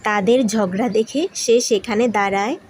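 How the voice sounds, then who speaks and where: A woman's voice: mid-range voice, moderate pace, darkish tone, a little hiss or hum. A woman narrating a scripted children's story.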